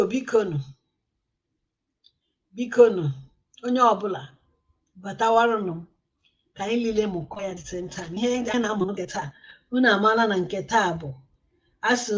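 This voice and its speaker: A woman with a Nigerian Igbo accent hosts a live stream at a middling pitch. She talks unhurriedly in an apologetic, coaxing way, urging viewers to come in and be patient with her. The sound is dull and lo-fi. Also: a little fast, pauses often. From Igbo land, southeastern Nigeria. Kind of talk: live-stream chat, mixing Igbo and English.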